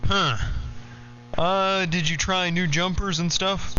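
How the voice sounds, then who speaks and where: A man's voice: high-pitched, steady tone, takes it slowly, sharp tone, faint music behind. A man chatting casually as an operator over CB radio. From United States. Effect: CB radio transmission. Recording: muffled, noisy CB radio audio with clicks.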